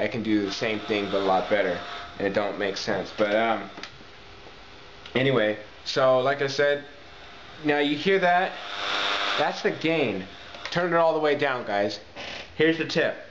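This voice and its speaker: A man presenting a casual hands-on how-to video in an informal way, addressing viewers as guys and repeating key points for emphasis. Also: on the low side. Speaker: tutorial presenter, talk box player. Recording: muffled, echoey, noisy amateur video sound.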